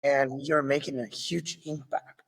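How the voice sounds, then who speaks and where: A man from Argentina with an Argentine Spanish accent, hosting a podcast interview in a friendly, halting way with small restarts; Spanish is his first language. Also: medium pitch, flat delivery, vocal fry, husky, in a large hall. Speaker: podcast host. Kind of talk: casual interview.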